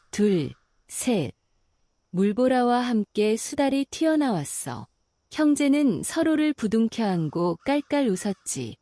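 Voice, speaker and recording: A woman narrating a bedtime fairy tale in a calm, slow and soothing way. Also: mid-range voice, dry recording. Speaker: storyteller.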